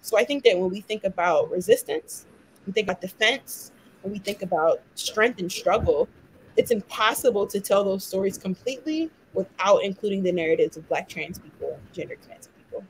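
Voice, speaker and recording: A woman's voice: medium pitch, lively intonation, brisk, slight background noise, low-quality recording. A young woman from London and Miami speaking passionately in a conversational discussion, peppering her talk with "like" and "right?". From born in London, raised in Miami. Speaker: guest, activist.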